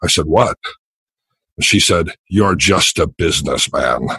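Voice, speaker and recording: Um homem de meia-idade com voz grave e gutural, falando de modo calmo e constante como convidado de podcast. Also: male voice, a little slow, creaky, husky, dry recording, low-quality recording. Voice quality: deep, throaty voice. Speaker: guest, psychotherapist and business consultant. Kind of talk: podcast interview answers.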